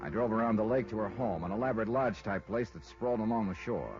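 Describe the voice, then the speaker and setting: An actor with an American accent playing a wry, sardonic investigator in 1950s radio detective style. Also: male voice, lowish voice, fast talker, lightly acted. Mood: wry narration. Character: wry, hard-boiled male insurance investigator. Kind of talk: 1950s radio detective acting, first-person narration. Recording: muffled old radio recording with music.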